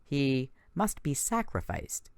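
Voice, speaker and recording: A man's voice: mid-range voice, huge pitch swings, takes it slowly, creaky, clean tone, dry recording, clean studio sound, full character voice. A man voicing a solemn young huntress who speaks in formal, old-fashioned wording. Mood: grave. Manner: formal archaic wording, grave. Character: solemn ancient young huntress, archaic speech.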